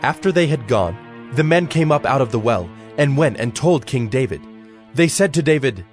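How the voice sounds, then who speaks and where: Measured male scripture narrator over soft music. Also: medium pitch, animated.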